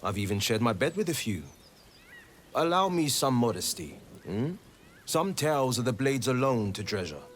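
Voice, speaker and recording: An actor playing a swashbuckling, boastful young monster hunter in a fantasy video game, with charming, theatrical flourishes. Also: male voice, medium pitch, sing-song, normal speed, a little hiss or hum, natural voice.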